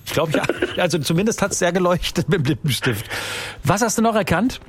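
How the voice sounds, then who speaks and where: Lively, high-pitched male radio host. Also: fairly quick, slightly rough. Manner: upbeat, exclamatory. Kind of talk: lively radio phone-in chat. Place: radio studio.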